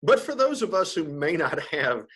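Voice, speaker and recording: A man hosting a podcast interview in a casual, informal and somewhat halting, effusive conversational way. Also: a little high, big pitch swings, a bit bright, slightly rough.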